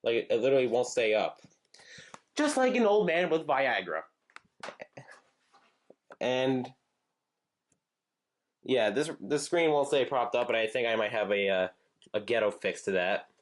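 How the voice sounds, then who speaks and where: A young man rambling casually as he shows off his gadgets, with frequent fillers, halting restarts and side remarks. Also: mid-range voice, animated, a little hiss or hum. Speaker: channel host showing weather radios. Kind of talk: casual show-and-tell chat.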